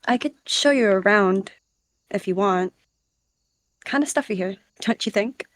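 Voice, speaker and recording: A woman's voice: medium pitch, unhurried, dry recording, studio quality, lightly acted. Woman playing a friendly, chatty female cat. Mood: inviting. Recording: music behind the voice.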